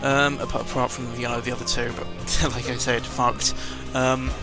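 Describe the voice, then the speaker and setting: Male gaming vlogger, mid-pitched, loose off-the-cuff delivery, hesitant and grumbly, clear music underneath. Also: little pitch movement, moderate pace. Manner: hesitant, meandering, opinionated grumbling with mild swearing. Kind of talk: rambling casual audio log over gameplay.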